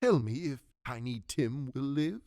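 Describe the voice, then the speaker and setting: A man performs a fretful old miser in a radio play, pleading anxiously. His pitch swings widely, and the sound is close and dry. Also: lively intonation, measured pace, warm tone, no room sound. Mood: concerned. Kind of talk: stage-style radio drama acting.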